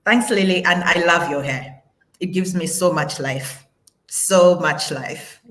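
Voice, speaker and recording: Lower-voiced emphatic Ugandan woman. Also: takes it slowly, fairly bright tone. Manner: emphatic, repeats phrases. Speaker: panel guest. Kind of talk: conversational panel talk.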